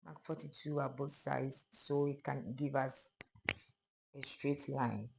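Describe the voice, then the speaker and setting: A sewing instructor with a hoarse, fading voice, calmly narrating a pattern-drafting tutorial step by step. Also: mid-range voice, takes it slowly, background noise. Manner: calm, step-by-step explaining of measurements. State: hoarse, losing voice.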